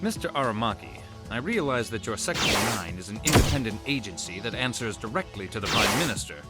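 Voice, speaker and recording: A cold government intelligence chief played by a man in an anime game. His mid-pitched voice is formal and condescending, with music clearly behind it. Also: animated, lightly acted. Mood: cold, reproachful. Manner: measured, formal, reproachful. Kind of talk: English anime game dub acting.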